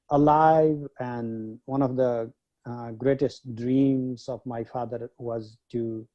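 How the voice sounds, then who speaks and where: A man from Nepal, a guest scholar, recalling his upbringing in an unhurried way with many pauses. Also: medium pitch, highs cut off. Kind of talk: reflective interview talk.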